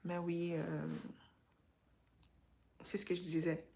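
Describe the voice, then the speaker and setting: A woman's voice: lowish voice, level tone, slow pace, mellow. A woman with a Quebec French accent touched by Creole and English, chatting informally and motivationally as a live stream host, dropping in English slang. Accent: Quebec French with some Creole and English influence. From Quebec, Canada. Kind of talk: casual motivational live chat.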